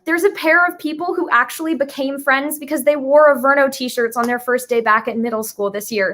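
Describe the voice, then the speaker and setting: A woman's voice: high voice, fairly even intonation, a little fast, fairly bright tone. A young woman guest in a casual chat, telling informal, rambling stories full of "like". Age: young adult. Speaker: guest, writer-creator.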